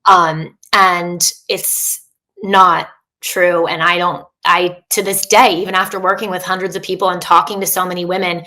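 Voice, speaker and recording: A woman's voice: fairly low pitch, level tone, normal speed, sharp tone, low-quality recording. A woman in her thirties, a coach talking as a podcast guest, speaking openly in a chatty way with many fillers and restarts. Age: 30s. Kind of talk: candid casual interview.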